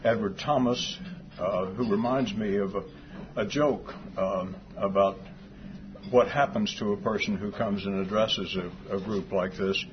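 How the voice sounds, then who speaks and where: An older man from Texas giving an after-lunch talk, soft-voiced, with dry humor and many pauses. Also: on the low side, steady tone. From Fort Worth, Texas. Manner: dry humor, hesitant with fillers. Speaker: guest speaker. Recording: dull lo-fi sound with clear background noise.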